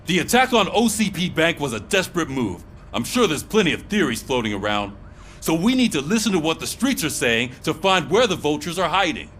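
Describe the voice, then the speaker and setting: Casting: gruff game police boss, authoritative briefing delivery, very varied pitch, faint noise behind. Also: male voice, very animated, fairly bright tone. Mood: businesslike, firm. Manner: authoritative, brisk orders. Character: gruff, commanding male police sergeant giving briefing. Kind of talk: video game voice acting.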